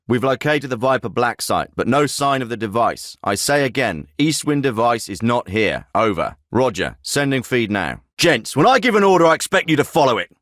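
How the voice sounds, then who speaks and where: Terse British special forces leader. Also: male voice, on the low side, a bit bright, no room sound. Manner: terse, dry, commanding. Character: gruff, sardonic male special forces team leader. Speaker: video game character actor. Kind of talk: military radio chatter and commands.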